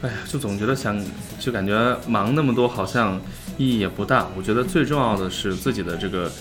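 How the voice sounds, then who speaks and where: A man's voice: lowish voice, a little fast, music in the background. A man chatting as a guest in a casual interview, agreeable and enthusiastic, often repeating himself. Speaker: guest, voice actor in an audio drama. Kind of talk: casual live interview chat.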